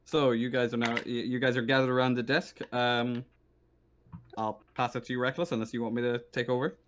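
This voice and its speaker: A man's voice: mid-range voice, little pitch movement, brisk. A man acting as game master, casually guiding players through a tabletop roleplaying session.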